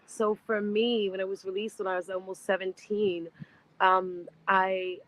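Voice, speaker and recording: A woman in her fifties, a singer being interviewed, chatting in an animated way and laughing easily. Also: mid-range voice, measured pace, a little hiss or hum, low-quality recording. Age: 50s. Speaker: guest singer. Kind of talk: casual interview chat.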